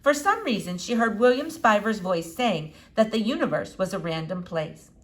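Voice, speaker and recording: A woman reading a children's novel aloud in an expressive storytelling style. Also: mid-range voice, moderate pace. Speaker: storybook reader. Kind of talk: expressive read-aloud narration.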